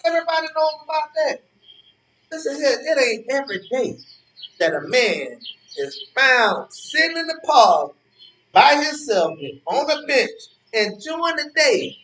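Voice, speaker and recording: A man's voice: very high-pitched, very animated, a little slow, sharp tone, heavily acted. An actor playing a cranky, worried old man in a stage play, stammering and repeating himself as he argues. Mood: grave, insistent. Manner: stammering, repetitive, emphatic, argumentative. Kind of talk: live stage play dialogue. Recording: roomy distant stage recording with ambient noise.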